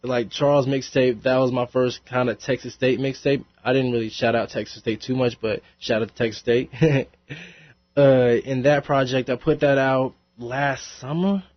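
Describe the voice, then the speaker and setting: A man's voice: mid-range voice, fairly even intonation, normal speed, dry recording. Young rapper guy chatting on college radio, laughs a lot, dry studio, kinda dull sound. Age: young adult. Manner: laid-back, laughs often, rambling with fillers. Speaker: rapper guest. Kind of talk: casual interview chat.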